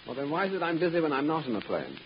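A man's voice: a little high, big pitch swings, very fast. A man playing an earnest, oblivious dimwit in an old radio comedy. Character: dim-witted, earnest fellow baffled by himself. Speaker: radio comedy supporting player, bandleader. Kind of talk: 1930s-40s radio comedy acting. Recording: muffled, noisy old radio recording.

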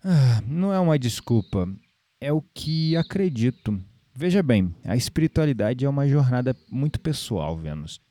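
An actor with a Brazilian accent playing a calm, playful, spiritually minded man in an audio drama. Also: mid-range voice, normal speed, natural voice. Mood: earnest, philosophical. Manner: gently playful, reflective, philosophical.

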